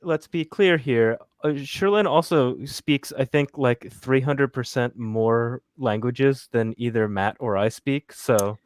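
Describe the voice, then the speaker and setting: A man co-hosting a podcast, speaking in a measured, hesitant way with fillers. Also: mid-range voice, no room sound. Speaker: podcast co-host. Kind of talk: casual podcast chat.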